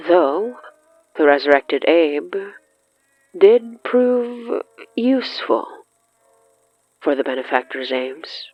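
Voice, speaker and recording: A narrator reading scripted dramatic horror fiction in a measured, deliberate way with dramatic pauses. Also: mid-range voice, animated, no room sound, highs cut off. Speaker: narrator of an audio fiction podcast. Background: faint music.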